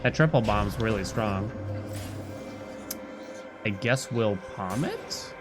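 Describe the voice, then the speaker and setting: Gamer dude thinking out loud on stream, casual, music going behind him. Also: male voice, fairly low pitch, expressive, slow pace. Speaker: video game streamer. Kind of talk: casual live gameplay commentary. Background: game music.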